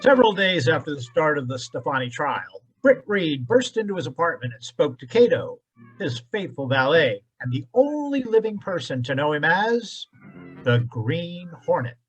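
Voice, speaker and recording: A woman's voice: low-pitched, big pitch swings, moderate pace, highs cut off, faint music behind. A woman delivering scripted old-time radio drama narration.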